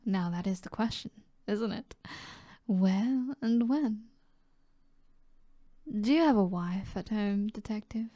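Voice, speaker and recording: A woman with a British accent voicing a seductive, self-assured mob boss in an ASMR roleplay, soft and flirtatious, often dropping to a whisper. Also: mid-range voice, dry recording, studio quality, natural voice. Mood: coolly informative, then teasing, seductive. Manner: flirtatious, teasing, unhurried.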